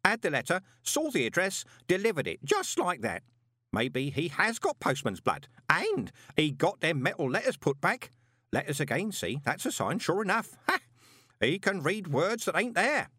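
Casting: anxious old career postman, high voice, wildly animated grumbling, storybook comedy. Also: normal speed, breathy edge, no echo, studio quality, heavily acted. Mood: muttering, excited. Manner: muttering, rambling, uneducated colloquial speech, mock-solemn.

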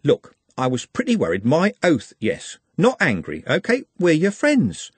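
A sardonic young working-class lad, blunt and cynical, with a voice that leaps around wildly, as a male narrator puts him on. He talks in a husky, dry tone with his pitch pushed well up. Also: huge pitch swings, measured pace, dry recording, full character voice. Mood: flustered.

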